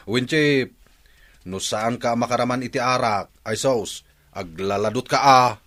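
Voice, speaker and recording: A man's voice: medium pitch, slow, fairly bright tone. An actor playing an easygoing man who goads his drinking buddy, in an Ilocano radio drama. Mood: jovial.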